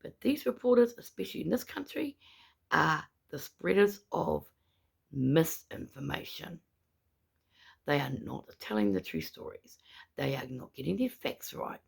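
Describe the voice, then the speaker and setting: Brief: gravelly, husky-voiced speaker with a New Zealand accent, gummy without teeth, indignant protester monologue. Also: medium pitch, very animated, unhurried. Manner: indignant, repetitive, emphatic. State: gummy speech, no dentures in. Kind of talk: angry ranting monologue.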